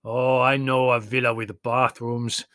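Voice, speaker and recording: A man's voice: medium pitch, flat delivery, unhurried, fairly bright tone, dry recording, clean studio sound, lightly acted. A man voicing a big, boisterous Greek taxi driver who speaks emphatic broken English in a storybook reading. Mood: brusque, eager.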